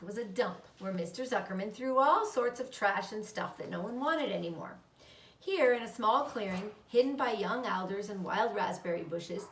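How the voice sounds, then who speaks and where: A woman's voice: medium pitch, fairly bright tone. A woman reading a children's story aloud as narrator. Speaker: storybook reader. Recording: roomy echo, slightly distant mic.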